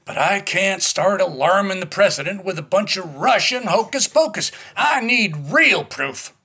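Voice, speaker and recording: A blustering, skeptical male military officer in a radio play, performed by a man. His voice is high and gravelly, swinging animatedly as he stammers impatiently. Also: lively intonation, a little slow, sharp tone, full character voice. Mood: impatient, dismissive. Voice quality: gravelly, raspy. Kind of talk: audio drama acting.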